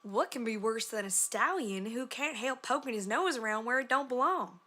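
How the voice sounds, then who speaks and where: A woman's voice: on the high side, expressive, normal speed, sharp tone. A woman voicing a plainspoken young female farm pony, with a folksy country way of talking. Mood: wary.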